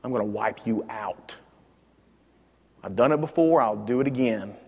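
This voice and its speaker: A man voicing God as a low, hushed male figure threatening to destroy a people, quoted inside a Bible lesson. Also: moderate pace, breathy edge, long reverb tail. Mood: hushed threat.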